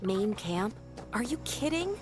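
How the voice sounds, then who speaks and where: A woman's voice: medium pitch, big pitch swings, a little slow. A woman voicing a sarcastic, edgy rookie rescuer in a game, heard off-mic over music. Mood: wary. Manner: sarcastic, easily alarmed.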